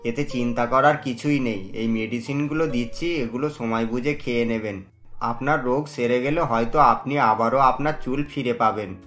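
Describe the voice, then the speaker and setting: A man's voice: mid-range voice, fairly bright tone, soft background music, natural voice. Reassuring cartoon doctor, acted by a man. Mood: calm, explaining. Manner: measured, consoling, lecturing. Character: calm reassuring male doctor advising a patient. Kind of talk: cartoon story dialogue.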